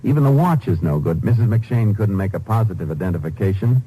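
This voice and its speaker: An American actor playing a shrewd, determined, laconic Texas Ranger in a 1950s radio drama, clipped and matter-of-fact. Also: male voice, lowish voice, lively intonation, a little fast, mellow, lightly acted. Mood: grim. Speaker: lead character and narrator. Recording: noisy old 1950s radio recording.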